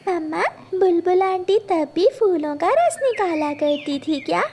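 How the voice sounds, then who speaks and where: A woman's voice: extremely high pitch, a little slow, background noise, pitched above the performer's own voice. A woman voicing a sweet, curious little daughter bird in a childlike, affectionate cartoon manner.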